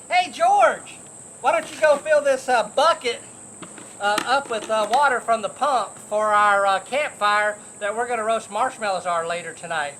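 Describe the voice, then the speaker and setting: A man's voice: very high-pitched, expressive, takes it slowly, a little hiss or hum, full character voice. A man playing a cheerful, folksy, friendly camper in a children's storybook role-play, chatty and exclamatory. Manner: chirpy, exclamatory, gently chatty. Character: cheerful folksy friendly man in the yellow hat, camping companion.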